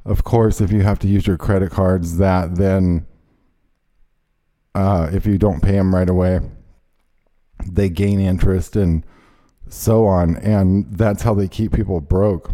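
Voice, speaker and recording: Brief: male host, rambling indignant rant, low and mellow voice. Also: steady tone, measured pace, long pauses. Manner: rambling, indignant, many fillers. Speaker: show host. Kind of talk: ranting casual chat.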